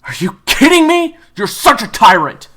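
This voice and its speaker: A man voicing a hot-tempered, sarcastic tough teenage schoolboy who snaps loudly. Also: medium pitch, very animated, measured pace, gravelly, voice pushed up, exaggerated voice. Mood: annoyed, sarcastic. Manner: snapping, sarcastic, loud.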